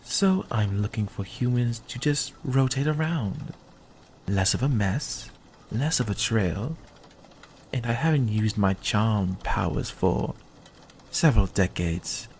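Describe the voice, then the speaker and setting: An actor playing a sly, centuries-old vampire in an ASMR roleplay, speaking in a hushed, deliberate, guarded way. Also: medium pitch, lively intonation, slight background noise. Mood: musing, weary.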